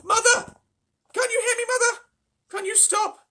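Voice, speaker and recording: A reader voicing a panicked middle-aged mother who wails in a shrill, high voice as her family shrinks away. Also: fairly even intonation, measured pace, pushed and bright, heavily acted.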